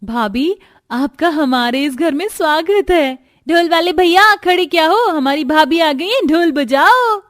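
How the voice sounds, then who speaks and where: Woman voicing a high, gushing young sister-in-law. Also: lively intonation, measured pace, dry recording. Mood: excited, welcoming. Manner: gushing, exclaiming, eager. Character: excited, adoring young sisters-in-law, women, doting and bubbly. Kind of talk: cartoon family story acting.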